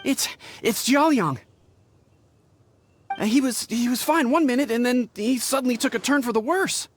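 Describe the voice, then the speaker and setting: An actor playing an earnest, dutiful young pharmacy assistant in a video game, polite and deferential, with music behind. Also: male voice, medium pitch, sing-song, normal speed, slightly rough. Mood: panicked. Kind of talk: English video game voice acting. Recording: music under the voice.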